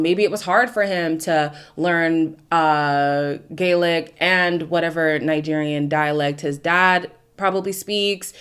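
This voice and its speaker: A young woman from Canada, whose first language is Ndebele, chatting through a casual recap of a dating show with strong opinions. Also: fairly low pitch. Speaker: recap host.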